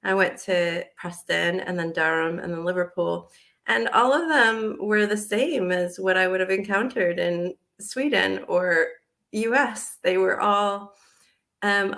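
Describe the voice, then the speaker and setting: A woman's voice: medium pitch, creaky, fairly bright tone. An American woman in her forties, a guest in a casual interview, talking animatedly with frequent laughs and fillers. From United States.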